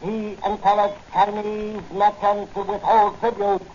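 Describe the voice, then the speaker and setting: Slow, high-pitched menacing cult priest. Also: male voice, completely flat delivery, a little mellow. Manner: solemn, chanting pronouncements in broken English. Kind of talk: 1940s radio melodrama acting. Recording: dull old 1940s radio recording, faint noise.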